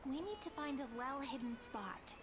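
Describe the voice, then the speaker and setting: An actress playing a gentle, dreamy young female companion in a video game, speaking in a soft, earnest, musing way. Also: sits high, expressive, normal speed. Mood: bright, musing. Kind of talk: scripted video game character dialogue. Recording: background music under voice.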